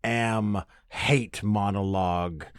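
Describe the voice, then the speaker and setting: A man's voice: fairly low pitch, takes it slowly, clean tone, no room sound. Casual, rambling man in a dry space. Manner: sweary, self-deprecating, animated nerdy enthusiasm. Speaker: podcast host. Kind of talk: casual, rambling solo chat.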